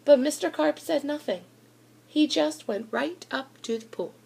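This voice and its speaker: A woman reading a children's storybook aloud in an expressive narrating style. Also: high voice, measured pace, vocal fry.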